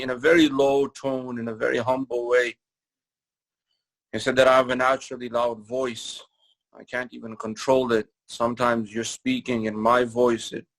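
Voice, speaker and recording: Gravelly-voiced man giving a mosque lecture. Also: medium pitch, a bit bright, poor audio. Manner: measured, repetitive phrasing, recites Quranic Arabic between English explanations. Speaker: imam giving a Ramadan lecture. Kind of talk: sermon-style religious lecture.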